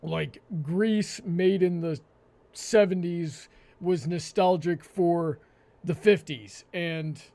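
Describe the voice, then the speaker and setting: A man hosting a live panel discussion, with a hesitant, halting delivery that often stammers and repeats words. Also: fairly high pitch, dark tone. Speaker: host.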